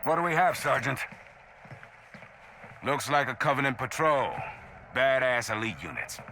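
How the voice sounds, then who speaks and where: An actor playing a gruff veteran marine sergeant in a video game, barking brusque orders. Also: male voice, medium pitch, animated, measured pace, sharp tone. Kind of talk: video game cutscene voice acting. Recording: voice over noisy battle ambience.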